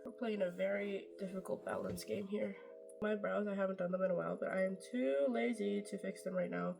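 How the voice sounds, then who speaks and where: A woman's voice: mid-range voice, steady tone, fairly quick, gravelly, darkish tone, soft background music. Chatty young woman doing a makeup tutorial. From Norway. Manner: chatty, rambling, self-deprecating, swears casually. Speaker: beauty vlogger. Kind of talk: casual makeup tutorial chat.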